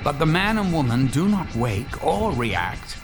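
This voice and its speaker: An actor playing an ominous storyteller, narrating a horror drama in a suspenseful, deliberate manner. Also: male voice, fairly high pitch, very animated, music in the background. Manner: suspenseful, deliberate, drops toward whispers.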